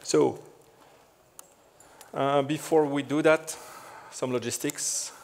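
A middle-aged man from the French-speaking part of Belgium speaks in a French accent at a mid-range pitch. He gives a conference talk on stage in a loose, chatty way, full of "so" and "uh" fillers with a light joking tone. Faint room ambience sits behind his voice. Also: fairly even intonation, takes it slowly, vocal fry, a little mellow, slight background noise. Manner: chatty, frequent "so" and "uh" fillers, light self-deprecating humor. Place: large dark conference stage.

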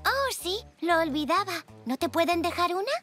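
An actress playing a gentle, friendly little girl zebra in a cartoon. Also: extremely high pitch, expressive, moderate pace, faint music behind, heavily acted. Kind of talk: cartoon dub acting.

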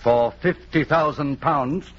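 An actor playing a polite, measured, businesslike insurance man in a 1940s radio drama. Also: a little high, fairly bright tone. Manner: measured, formal. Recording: muffled, noisy 1940s radio recording.

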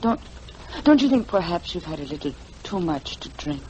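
An actress playing a poised, evasive widow who speaks formally and coolly in a 1940s radio drama. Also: female voice, lowish voice, very animated, normal speed, dark tone. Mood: evasive, dismissive. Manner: cool, formal, dismissive. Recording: noisy old 1940s radio recording.

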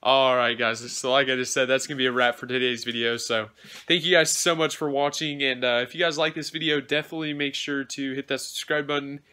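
A man's voice: mid-range voice, steady tone, a little fast, bright, forceful tone. A young man casually narrating a DIY build in his home garage, talking with many ums and uhs while he films on a handheld phone. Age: young adult. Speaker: DIY vlogger.

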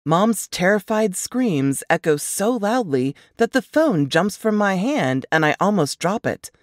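A woman's voice: medium pitch, big pitch swings, measured pace, no echo, studio quality. A woman reading a novel excerpt as dramatic first-person audiobook narration.

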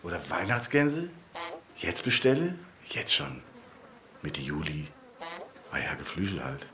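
A man's voice: low-pitched, sing-song, a little slow, gravelly, pushed and bright, slight background noise, heavily acted. An actor playing a grumbling, resigned old analog machine in a radio play, muttering drily as he rambles. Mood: grumbling, puzzled.

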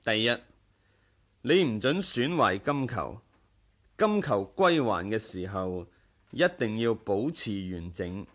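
A dignified, devout exiled leader. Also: male voice, fairly low pitch, big pitch swings, takes it slowly, a bit bright, full character voice. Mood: gracious, firm. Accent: Hong Kong Cantonese. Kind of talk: 1980s radio drama acting.

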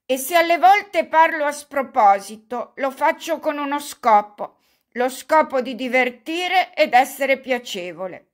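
A woman doing an old male buffoon from an audiobook, effusive and clownish. He talks loudly and at length, somewhat high in pitch. His delivery is slow and drawn out. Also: lively intonation. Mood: fawning. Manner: loud, effusive, self-mocking clowning.

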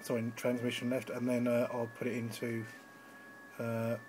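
A man's voice: medium pitch, completely flat delivery, moderate pace, a little mellow, slight background noise, rough recording. A man giving an informal, slightly hesitant live demonstration as an amateur radio operator, recorded on a handheld phone indoors. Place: indoors at a radio station desk.

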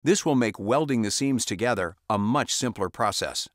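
A man reading a scripted step-by-step software tutorial as a voice-over, calm and instructional. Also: lowish voice, moderate pace, no echo, studio quality.

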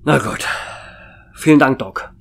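A man's voice: medium pitch, unhurried, gravelly, a bit bright. A man playing a commanding, sarcastic and impatient police chief in a German horror radio play. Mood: stern, sarcastic. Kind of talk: German horror audio drama acting.

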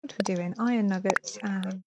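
A woman's voice: mid-range voice, steady tone, moderate pace, noisy background. A woman in her thirties from Herefordshire with a Welsh-border English accent, chatting casually on a live stream while she plays a game and reads viewer messages aloud. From England (Herefordshire). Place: small room indoors. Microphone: headset.

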